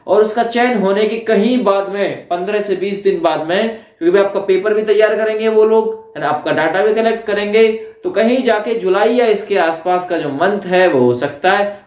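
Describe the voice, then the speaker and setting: The recording is roomy and echoing. A man speaks in a notably high voice for a male. He explains an update to his viewers informally, circling back over his points and checking in with short tag questions. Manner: repetitive, with frequent tag questions. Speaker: YouTuber giving exam updates.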